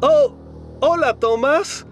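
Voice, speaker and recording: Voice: high voice, takes it slowly, soft background music. A performer voicing a timid, good-natured talking railway wagon in a Latin American Spanish cartoon dub. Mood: sheepish, grateful. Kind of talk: dubbed children's cartoon acting.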